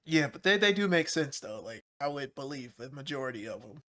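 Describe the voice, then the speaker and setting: A man's voice: medium pitch, sing-song, fairly quick, pushed and bright. A man co-hosting a casual movie review podcast, easygoing and laughing along. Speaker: podcast co-host.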